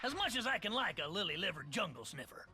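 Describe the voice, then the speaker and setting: An actor voicing a cantankerous, battle-crazed little yordle warrior in a video game, shouting blustering rustic insults. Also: male voice, high-pitched, sing-song, fast talker, slightly rough, exaggerated voice. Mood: taunting. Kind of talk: video game character voice lines.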